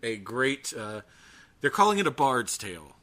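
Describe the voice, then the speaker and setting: A man with a bright, forward voice and an ordinary mid pitch. He talks as a podcast co-host in casual chat, halting and chatty as he finds his way through a thought. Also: big pitch swings.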